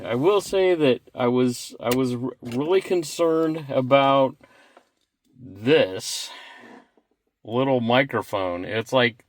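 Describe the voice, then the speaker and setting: A man's voice: mid-range voice, dry recording. An American man in his sixties giving a casual, unscripted product review in a rambling, folksy, plain-spoken way. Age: 60s.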